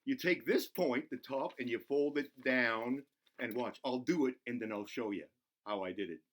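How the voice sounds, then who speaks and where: A man voicing an excitable, goofy buzzard who hosts a children's show, playful and giggly as he talks to himself and to the kids. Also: mid-range voice, normal speed, natural voice. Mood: playful, encouraging. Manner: giggly, self-interrupting, talks to himself in third person. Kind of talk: playful craft demonstration for children.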